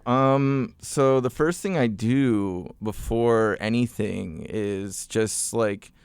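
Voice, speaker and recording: A young man talks loosely as a podcast guest, circling back through fillers and restarts. His voice sits fairly low and sounds smooth and clean. The recording is close and dry. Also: slow, dry recording. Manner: relaxed storyteller, many fillers and restarts. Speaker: podcast guest, musician and vocal coach. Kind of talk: casual podcast interview.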